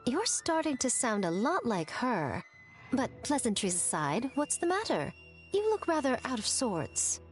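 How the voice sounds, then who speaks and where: A woman's voice: on the high side, very animated, measured pace. A woman voicing a sly shrine priestess in a game, speaking in a playful, teasing, knowing way. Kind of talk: game cutscene voice acting. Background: faint game music.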